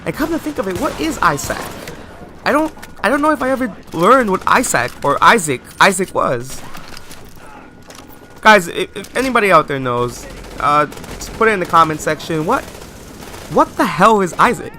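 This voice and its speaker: A man's voice: high voice, very animated, normal speed, pushed and bright, a little hiss or hum. A man giving post-recorded commentary over gameplay, chatty and rambling, often asking viewers questions. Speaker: let's play host.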